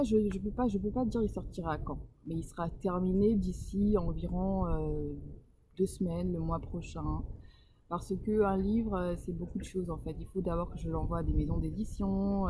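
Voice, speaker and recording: A woman author from Congo-Brazzaville answers interview questions in a hesitant, searching way, often restarting her sentences. Her voice sits a little low for a woman. A clear hiss of background noise runs under the recording. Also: moderate pace. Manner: hesitant, many fillers and restarts. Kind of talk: casual interview. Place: home in northern Portugal.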